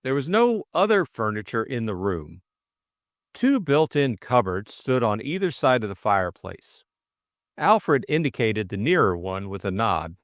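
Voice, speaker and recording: A man's voice: mid-range voice, very animated, a little slow, no echo, clean studio sound. A man narrating a classic mystery novel as a scripted audiobook reading.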